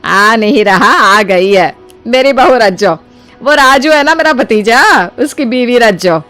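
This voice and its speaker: A woman playing a kindly older mother-in-law in a story, with an affectionate, coaxing, expressive manner. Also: on the high side, unhurried, faint music behind. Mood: doting.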